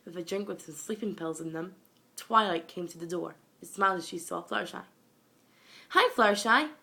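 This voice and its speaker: A woman's voice: fairly low pitch, fairly even intonation, fairly quick, creaky, pushed and bright. A young woman reading a scripted story aloud in a dramatic, amateur way, recorded at home on a phone. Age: young adult or younger, school age. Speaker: amateur fan fiction reader.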